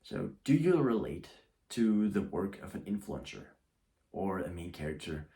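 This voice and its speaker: A man's voice: low voice, big pitch swings, measured pace, creaky, warm tone. A young man talking casually to camera as a YouTuber, rambling and often restarting his sentences.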